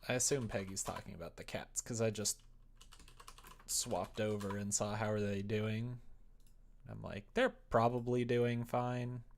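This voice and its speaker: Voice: medium pitch, fairly even intonation, measured pace, gravelly, slight background noise. A livestreamer chatting casually and muttering to themselves while working, in an informal, self-deprecating way. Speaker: live streamer.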